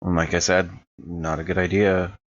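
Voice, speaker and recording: A man playing a shaken, apologetic survivor in an improvised horror audio drama, speaking haltingly. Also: low-pitched, level tone, dull audio. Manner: halting, hesitant.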